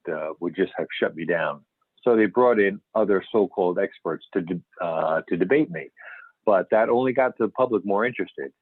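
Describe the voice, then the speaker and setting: A man acts out a veteran male astronomer turned UFO investigator in a readers theater piece. His voice is low, and he reminisces in a folksy, candid, storytelling way. The sound is narrow and phone-like, as over a conference call, with little room around it. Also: no room sound. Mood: wry. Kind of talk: amateur readers theater, scripted interview reminiscence. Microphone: phone or videoconference call. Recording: band-limited phone-like conference call audio.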